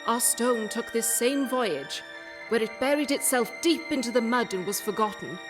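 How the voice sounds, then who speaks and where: A woman acts a cerebral detective in a radio play, high in pitch and grandly eloquent. The delivery is measured, declamatory and commanding, with prominent music underneath. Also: lively intonation, close to an everyday voice. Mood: grandly musing. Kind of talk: theatrical radio play monologue and dialogue. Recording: music behind the voice.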